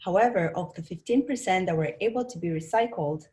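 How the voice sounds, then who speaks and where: Casting: woman from Rwanda, lowish voice, measured academic presenter on a video call, pauses and fillers. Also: dark tone. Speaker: presenter, design instructor.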